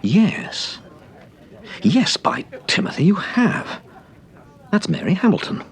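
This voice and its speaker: An actor playing a calm, protective, well-spoken British gentleman detective and husband in a radio drama. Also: sits high, slow, gravelly, heavily acted. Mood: composed. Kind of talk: BBC radio drama acting. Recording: noisy radio drama with sound effects.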